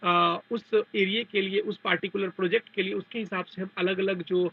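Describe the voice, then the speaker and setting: Husky higher-pitched man explaining. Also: level tone, moderate pace, phone-quality sound. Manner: repetitive, step-by-step explaining with English technical terms. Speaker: technical trainer. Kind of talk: explanatory tutorial over a diagram.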